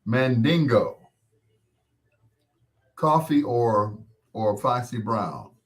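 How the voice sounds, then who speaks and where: A man's voice: medium pitch, lively intonation, extremely slow. An American man in his sixties hosting a casual live chat, reminiscing in a rambling, conversational way and repeating points for emphasis. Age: 60s. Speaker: host.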